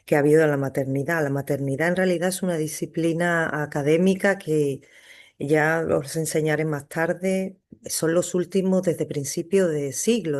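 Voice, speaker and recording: A woman's voice: sits low, a little mellow. Hesitant woman on a video call. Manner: hesitant, many fillers and restarts. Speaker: psychotherapist and researcher giving an online talk. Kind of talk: academic talk, conversational.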